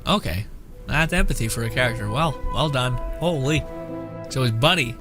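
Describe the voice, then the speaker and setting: A man's voice: medium pitch, lively intonation, moderate pace. Guy streamer chatting over a game, mid voice, rambly casual, music behind him. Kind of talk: casual gameplay commentary.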